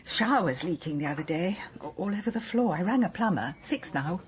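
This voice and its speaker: An actress playing a lonely older wife who stammers and halts through tearful talk in a radio drama. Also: female voice, lowish voice, animated, fairly quick, a little hiss or hum, close to an everyday voice.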